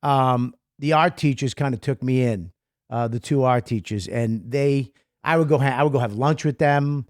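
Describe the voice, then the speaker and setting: A man's voice: mid-range voice, moderate pace, darkish tone, dry recording, studio quality. A man from Boston with a Boston accent, a comedian guest telling his life story in a rambling, self-deprecating way. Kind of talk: interview storytelling.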